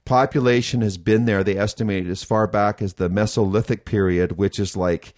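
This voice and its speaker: A man's voice: fairly low pitch, steady tone, normal speed, a little mellow. A man co-hosting a casual chat, enthusiastic and rambling as he thinks aloud about plans. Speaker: co-host of a nutrition school podcast.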